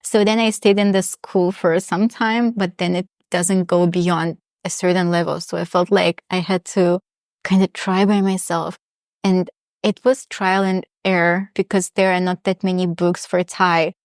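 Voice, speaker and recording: A woman's voice: fairly low pitch, little pitch movement, unhurried, dry recording, clean studio sound. A young woman from Russia, chatting reflectively as an interview guest. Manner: reflective, chatty, many restarts and small laughs. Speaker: guest, polyglot. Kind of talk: casual interview chat.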